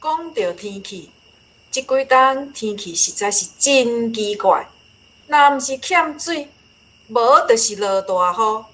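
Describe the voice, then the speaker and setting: A woman's voice: fairly high pitch, lively intonation, takes it slowly, a bit bright, poor audio. An actress with a Taiwanese accent playing a nagging, worried older woman in a radio drama. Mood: concerned. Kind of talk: conversational radio drama acting.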